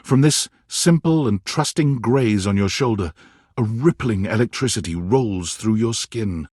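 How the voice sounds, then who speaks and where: A man giving scripted, theatrical narration for a game, telling the story in an expressive, dramatic way. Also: lowish voice, a little slow, slightly rough, no room sound, clean studio sound.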